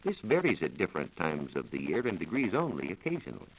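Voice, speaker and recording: A man's voice: sits low, huge pitch swings, quick pace, slightly rough, low-quality recording. An American man giving a formal, precise scripted science explanation as a reporter on a 1940s radio programme. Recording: vintage 1940s radio broadcast recording.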